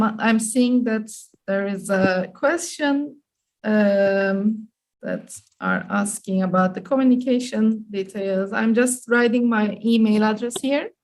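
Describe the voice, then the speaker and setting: A woman's voice: mid-range voice, gravelly, darkish tone. A woman with a Turkish accent, hosting an online webinar in a polite, semi-formal way with slightly hesitant phrasing. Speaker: webinar host and moderator.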